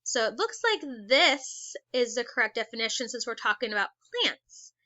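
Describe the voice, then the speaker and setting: Bright-voiced woman reading to kids. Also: fairly high pitch, animated, no room sound, muffled recording. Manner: reads slowly, pauses to ask questions. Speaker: teacher. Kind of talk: read-aloud lesson for children.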